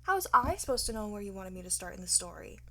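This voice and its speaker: A woman playing a cocky, flirtatious art thief in an ASMR roleplay, teasing and smug, often slipping into breathy whispers. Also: mid-range voice, big pitch swings, fast talker, fairly bright tone. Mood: boastful, theatrical. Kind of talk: ASMR roleplay, one-sided acted dialogue.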